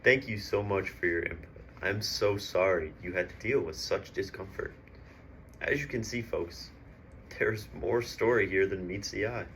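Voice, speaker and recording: A man's voice: low-pitched, moderate pace, a bit bright. A man acts as a showy male newsreel host. He projects in a polite, sympathetic, presentational manner, with faint noise behind the voice. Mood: courteous, presentational. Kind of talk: mock news presenting.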